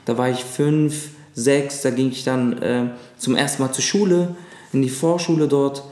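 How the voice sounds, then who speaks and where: A man in his thirties from Lebanon, whose first language is Arabic, recalling his childhood as an interview guest with frequent pauses and fillers. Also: medium pitch, fairly even intonation, warm tone. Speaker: guest, actor. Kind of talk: casual interview chat. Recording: echoey room.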